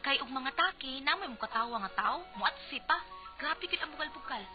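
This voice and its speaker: Dull lo-fi radio drama: worried Cebuano wife, exasperated, questioning, very lively intonation. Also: female voice, sits high, brisk, faint music behind. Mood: exasperated, lamenting. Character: Matilde, worried, exasperated wife of a thin-skinned politician. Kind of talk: Bisaya radio drama acting.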